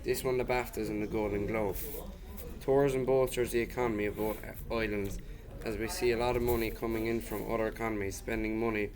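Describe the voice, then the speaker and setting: A man's voice: lowish voice, level tone, fairly quick, background noise. A man giving a scripted presentation as a guest speaker, reading it aloud.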